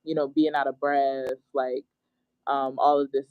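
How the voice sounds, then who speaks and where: A man's voice: mid-range voice, little pitch movement, measured pace, clean tone, no room sound. A man acting in a rehearsal, chatting informally about his role with lots of "like" and "you know". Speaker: actor in rehearsal. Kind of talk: casual chat about a role. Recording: dull, dry remote call audio.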